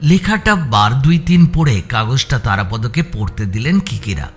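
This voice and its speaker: A man's voice: mid-range voice, very animated, normal speed, soft background music. A man reading scripted story narration as an audiobook narrator.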